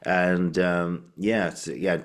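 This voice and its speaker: A man's voice: low voice, fairly even intonation, slow pace. A man hosting a podcast, chatting casually with a guest. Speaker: podcast host. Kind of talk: casual interview chat.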